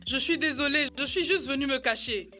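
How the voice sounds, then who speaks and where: A woman's voice: sits high, fairly quick, bright, forceful tone, faint music behind. A woman voicing a small, anxious, apologetic child in a children's cartoon, with a plaintive way of speaking. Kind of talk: children's educational cartoon acting.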